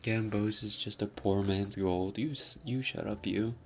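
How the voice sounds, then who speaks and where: A man doing a huffy gravelly indignant man. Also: lowish voice, a little slow, a little hiss or hum.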